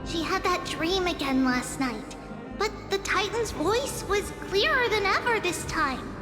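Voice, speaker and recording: A woman voicing an earnest young heroine in a game, planning deliberately to save her doomed world. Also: extremely high pitch, lively intonation. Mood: grave, resolute. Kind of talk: game voice acting. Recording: echoey long reverb, clear music behind.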